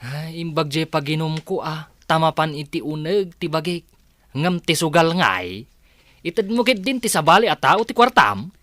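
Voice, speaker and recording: Grumbling hard-drinking man, male actor, animated swings in pitch, defensive, dry studio sound for audio drama. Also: moderate pace, no echo. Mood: accusing.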